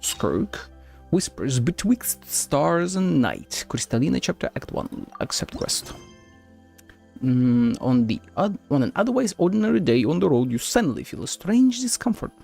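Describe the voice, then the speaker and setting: A man doing casual livestream game commentary, with a gravelly creak and loose, playful, crude asides. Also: fairly high pitch, very animated, unhurried, darkish tone, soft background music. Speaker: game streamer.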